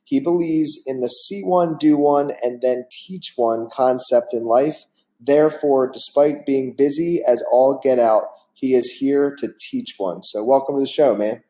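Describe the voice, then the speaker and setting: A man's voice: medium pitch, unhurried, mellow, low-quality recording. A man hosting a business interview podcast with a mid-range voice. He reads the guest intro in an upbeat, friendly way and asks questions plainly. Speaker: podcast host.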